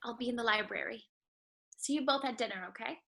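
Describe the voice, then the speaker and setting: A woman's voice: on the high side, fast talker, gravelly, a bit bright. A woman acting a kind, headstrong young lady of a wealthy house, sheltered and earnest, in a play reading. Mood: playful, firm.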